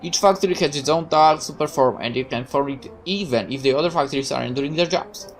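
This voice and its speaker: A woman reading aloud as a naive young male soldier in a visual novel, speaking low and hesitantly. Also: fast, faint music behind, lightly acted.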